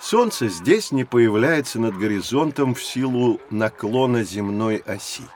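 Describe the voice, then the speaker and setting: An actor playing a knowledgeable adult guide who explains things to children in an educational audio drama, in a teacherly manner. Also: male voice, mid-range voice, lively intonation, unhurried, a little mellow, close to an everyday voice. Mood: patient explaining.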